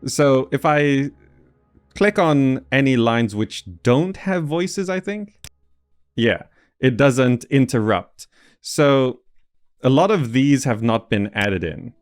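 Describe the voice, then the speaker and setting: Guy doing chill gaming video commentary, medium pitch, rambly, soft music in the back. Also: lively intonation, slow pace. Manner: rambling, thinking aloud. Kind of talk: casual game commentary. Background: faint game music.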